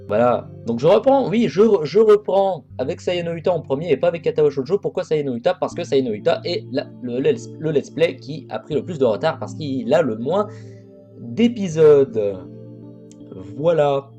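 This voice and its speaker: Mellow-voiced man rambling over a game. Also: on the high side, highs cut off. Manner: rambling, crude jokes, frequent restarts. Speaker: let's play host. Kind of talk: casual game commentary.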